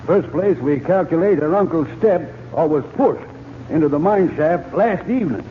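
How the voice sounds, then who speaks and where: Man playing a stern western sheriff, animated pitch. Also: normal speed, a little hiss or hum, lightly acted. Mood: grave, suspicious. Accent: American. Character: stern, folksy western sheriff, measured and suspicious. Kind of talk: old-time radio western acting. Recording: tinny, band-limited old radio recording.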